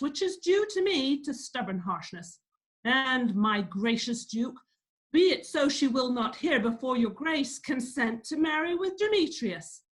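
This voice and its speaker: A woman playing a stern, indignant old father in a declamatory Shakespearean table read. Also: on the high side. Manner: declamatory, emphatic listing. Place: remote video call reading. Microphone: video call.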